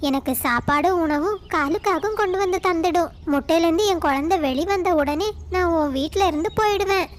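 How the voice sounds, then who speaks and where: A woman voicing a bossy, fretful mother crow who pleads and scolds in an animated moral story. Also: extremely high pitch, fairly quick, pitched above the performer's own voice. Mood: insistent.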